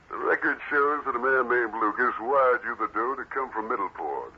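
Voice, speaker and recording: An actor playing a scheming, cowardly crook in a melodramatic old-time radio crime drama. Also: male voice, mid-range voice. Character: scheming male villain, threatening then cowardly and desperate. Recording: muffled, noisy old radio recording.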